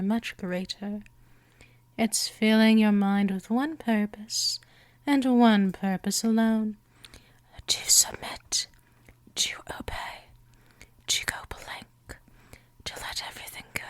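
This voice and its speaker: A woman voicing a gentle but dominant, seductive vampire in a scripted hypnosis roleplay, teasing and coaxing, often slipping toward a whisper. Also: fairly high pitch, no room sound.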